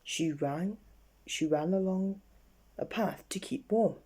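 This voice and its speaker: A bedtime story reader with a husky, dark-toned voice. The delivery is storybook narration, read aloud haltingly with small slips. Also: medium pitch.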